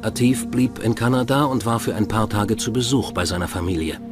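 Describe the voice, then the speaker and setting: A man's voice: on the low side, brisk, over music. A man reading scripted documentary narration as the narrator of a true crime podcast. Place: voice-over with music behind.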